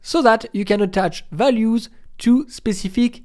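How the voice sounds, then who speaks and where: A man's voice: high voice, measured pace, darkish tone. A middle-aged man presenting a programming screencast, explaining each step in an instructional way.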